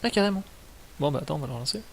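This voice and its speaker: A man's voice: fairly high pitch, lively intonation, very fast, creaky, slight background noise. A man giving casual, slangy, mildly sweary commentary as a video game streamer. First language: French.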